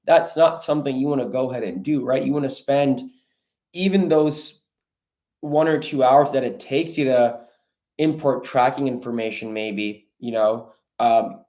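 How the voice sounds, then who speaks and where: A man's voice: mid-range voice, dark tone. Guy on a livestream taking questions, chatty and informal, coaching people like they're his bros. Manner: informal, addresses viewers as bro and guys. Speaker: livestream host answering viewer questions. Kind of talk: casual live Q&A, coaching online sellers.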